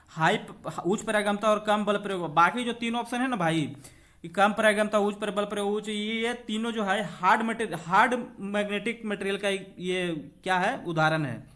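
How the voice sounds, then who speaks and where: High-pitched male coaching teacher. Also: sharp tone. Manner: repeats points, checks in with short confirmations; switches between Hindi and English when reading aloud. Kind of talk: lesson working through practice questions.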